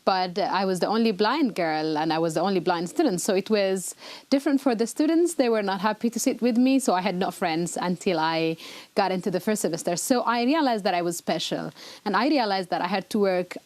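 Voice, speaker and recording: A woman's voice: mid-range voice, expressive, fairly quick, a little mellow, no echo. A woman, a guest in a studio interview, explaining things earnestly with frequent hesitations. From Ethiopia. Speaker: interview guest, rights activist. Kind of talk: broadcast interview answers. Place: broadcast studio.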